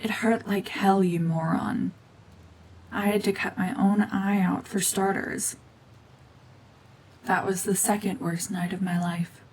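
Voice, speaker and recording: A woman voicing a cold, mean renegade gorgon witch in a hushed, half-whispered ASMR drama, domineering and sardonic. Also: mid-range voice, fairly even intonation, a little slow, pauses often, slight background noise, lightly acted, rough recording. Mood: bitter sarcasm.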